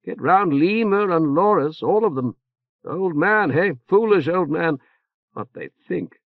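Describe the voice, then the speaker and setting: A man's voice: high-pitched, lively intonation, no room sound, voice pushed up. A man voicing a fretful older male churchman who stammers, hedges and tags lines with "eh?", in a dull, muffled recording. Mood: anxious, fumbling. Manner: halting, full of "uh" and "eh?" tags. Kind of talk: audiobook character voice.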